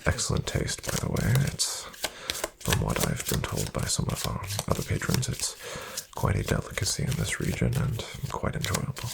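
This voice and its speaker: Man playing a soft, deep, gravelly casino dealer. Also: huge pitch swings, heavy background noise, full character voice. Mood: attentive, gracious. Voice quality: soft, hushed, breathy-edged delivery. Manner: polite, formal, measured, deferential. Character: courteous, formal male casino dealer in VIP suite. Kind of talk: soft-spoken ASMR roleplay. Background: card shuffling and clicking.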